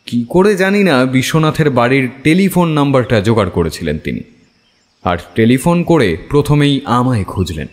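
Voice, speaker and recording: A male actor as a detective's companion telling a mystery story. His delivery is wry and very expressive, swinging widely in pitch, with a long reverb around the voice. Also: normal speed, lightly acted. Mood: wry, reflective. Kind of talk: dramatic first-person story narration.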